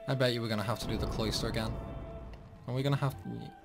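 A man's voice: medium pitch, steady tone, fast, vocal fry, over music. Chatty young man narrating gameplay. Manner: chatty, self-deprecating, exclaims at mistakes. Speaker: gameplay channel host. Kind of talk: casual game commentary.

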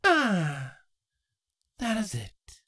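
A man's voice: high voice, huge pitch swings, extremely slow, bright, forceful tone, slightly rough, voice pushed up, exaggerated voice. A man voicing an ancient, scheming changeling queen, smug and taunting with false sweetness, in a dramatic fan fiction reading. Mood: gloating.

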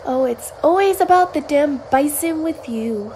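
A scornful, fed-up wife. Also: female voice, high-pitched, lively intonation, unhurried, warm tone, slight background noise, low-quality recording. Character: exasperated scornful wife, bored and sharp-tongued.

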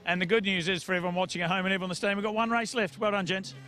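A man doing post-race interviews at trackside, jokey and quick with his questions. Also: high-pitched, fairly even intonation, pushed and bright, faint music behind. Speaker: trackside reporter.

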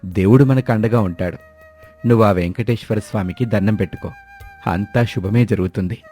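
A man's voice: on the low side, fairly quick, mellow, husky. Man playing a brave young farmer hero. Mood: earnest. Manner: earnest, reassuring. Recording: dry, faint music behind.

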